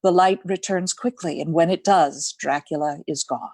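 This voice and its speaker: A woman's voice: low voice, normal speed, darkish tone, dry recording. A woman reading dramatic scripted narration as the narrator of a radio play.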